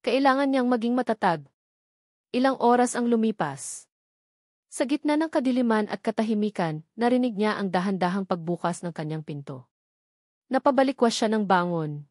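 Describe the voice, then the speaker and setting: A woman's voice: fairly high pitch, expressive, moderate pace, darkish tone, no room sound. Filipino woman narrating a story, dry sound. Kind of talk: scripted dramatic story narration.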